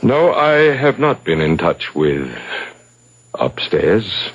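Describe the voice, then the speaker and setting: Deep-voiced, guarded senior club man. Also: big pitch swings, slow pace, husky, dull audio, full character voice. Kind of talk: 1970s radio drama acting. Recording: 1970s radio broadcast recording.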